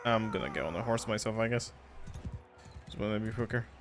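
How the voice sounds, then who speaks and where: Relaxed Polish-accented man chatting on stream. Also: on the low side. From Poland. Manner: relaxed, halting, frequent restarts. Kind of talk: casual live-stream chat while gaming.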